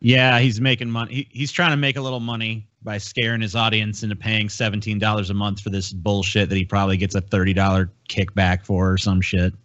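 A man's voice: fairly low pitch, level tone, a little fast, hardly any pauses, fairly bright tone, no room sound, muffled recording. A man co-hosting a podcast with casual, profane banter, blunt and sarcastic in manner. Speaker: podcast co-host.

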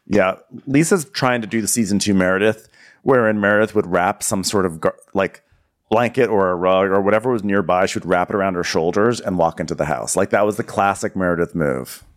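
A man's voice: deep voice, fairly quick. A man co-hosting a comedy recap podcast, wry and chatty, acting out quotes. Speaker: podcast co-host.

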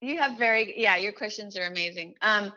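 A woman's voice: mid-range voice, a little fast, sharp tone, dull audio. A woman from Chile and the United States giving earnest, emphatic, conversational interview answers as a musician, often repeating her phrases. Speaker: musician, singer-songwriter and teaching artist.

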